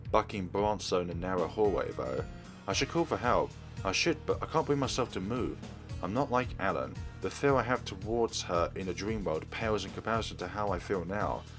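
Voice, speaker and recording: A man's voice: deep voice, normal speed, fairly bright tone, soft background music. A man narrating a visual novel aloud as a let's play host. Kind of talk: reading visual novel aloud, narrating and doing character voices.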